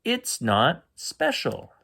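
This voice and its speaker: A man voicing a firm, unimpressed old grandmother in a storybook, dismissive and drawn-out. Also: a little high, huge pitch swings, creaky, bright, forceful tone, pitched above the performer's own voice, exaggerated voice.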